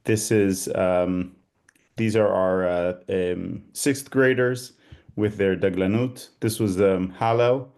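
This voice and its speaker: A rabbi from Israel with a mostly American-sounding Israeli accent, giving an informal talk over a video call, pausing often with "um" and "uh". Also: male voice, sits low, fairly even intonation, a little mellow. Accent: Israeli, with an American tinge. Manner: hesitant, with many fillers and restarts. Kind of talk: informal talk to a small group.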